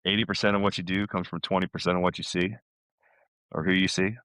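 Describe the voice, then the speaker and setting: A man with a deep voice talks as a guest on a podcast. His delivery is casual and chatty, full of informal phrasing, and the sound is dry with very little room on it. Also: level tone, quick pace, a bit bright, no room sound. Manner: chatty, informal, peppers talk with "man" and "you know". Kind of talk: casual conversational podcast talk.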